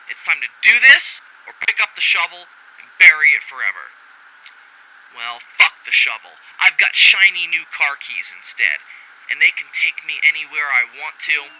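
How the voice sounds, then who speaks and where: A man's voice: on the high side, sharp tone, heavily acted, low-quality recording. A man playing a foul-mouthed, rebellious scientist in a sci-fi audio drama, delivering a rambling, wry and theatrical recorded log that keeps interrupting itself. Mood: impassioned. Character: brash, foul-mouthed rogue male scientist, giddy and rebellious. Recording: faint background alarm and machinery noise.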